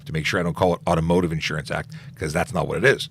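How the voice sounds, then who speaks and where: Deep-voiced police officer hosting a live Q&A. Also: male voice, fairly even intonation, rapid-fire, a bit bright, a little hiss or hum. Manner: reads out viewer questions, then answers with restarts and fillers. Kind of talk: casual livestream Q&A.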